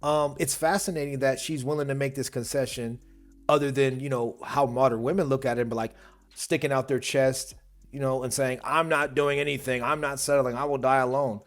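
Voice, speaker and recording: A man's voice: medium pitch, fairly even intonation, brisk. Opinionated man rambling through a reaction. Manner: opinionated, rambling with many fillers. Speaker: reaction video host. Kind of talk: casual reaction commentary.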